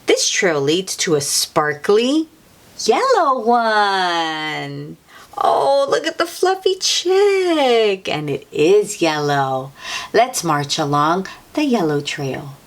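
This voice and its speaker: Very animated, slow-paced woman reading to children. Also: medium pitch. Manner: animated, encouraging, sing-song talk addressed to small children. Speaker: children's read-aloud host. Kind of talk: picture book read-aloud for young children.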